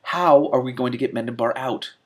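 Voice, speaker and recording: A man's voice: mid-range voice, fairly quick, a little mellow, voice pushed up, natural voice. A man voicing a frustrated, impatient and blunt woman in a fantasy story. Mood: exasperated, appalled. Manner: exasperated, blunt.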